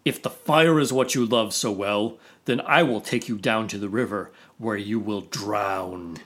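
A man's voice: fairly low pitch, unhurried, fairly bright tone, voice pushed down. A man voicing a bold male villager, a father, who mocks and challenges his foe in a told folk tale. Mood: mocking. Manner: mocking, challenging. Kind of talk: storytelling.

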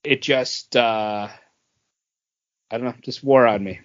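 A man's voice: medium pitch, fairly even intonation, slow pace. A man talking casually and bluntly as a podcast panelist giving a review. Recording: dull, lo-fi audio.

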